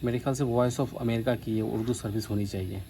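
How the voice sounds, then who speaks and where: A man from India giving a casual, explanatory hobbyist demonstration, pausing now and then mid-sentence. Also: medium pitch, steady tone, creaky, over loud music. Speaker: vlogger demonstrating a vintage radio. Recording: faint background noise.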